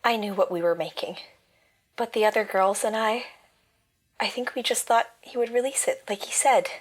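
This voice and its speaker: A woman's voice: a little high, normal speed, lightly acted. A woman playing an earnest, apologetic but plucky young girl in an audio drama. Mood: wistful.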